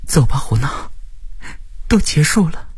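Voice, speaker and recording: A man's voice: a little high, moderate pace, husky, no room sound, pitched above the performer's own voice. A man voicing a devoted, anxious companion in an audiobook, pleading and heartfelt. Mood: gentle.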